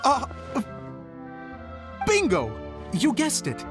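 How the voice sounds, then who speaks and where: Earnest young director, animated tenor. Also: male voice, very high voice, extremely slow, full character voice. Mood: playful. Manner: theatrical, earnest, gracious. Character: earnest, good-natured young male stage director. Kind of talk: animated video game voice acting. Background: guitar music.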